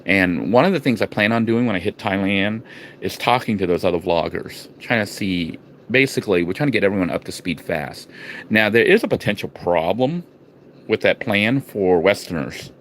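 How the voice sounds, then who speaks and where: A man from the United States talking casually to his vlog viewers, rambling with restarts and fillers and swinging between low murmurs and sudden emphatic bursts. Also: a bit bright, slight background noise. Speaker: vlogger. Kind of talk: casual vlog talk to camera.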